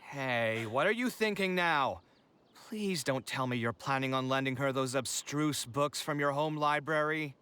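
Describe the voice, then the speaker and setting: Expressive bright-voiced young architect. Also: male voice, medium pitch, measured pace. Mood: teasing, worried. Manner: theatrical, expressive. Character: dramatic, expressive young male architect, easily exasperated. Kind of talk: video game voice acting. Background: game music.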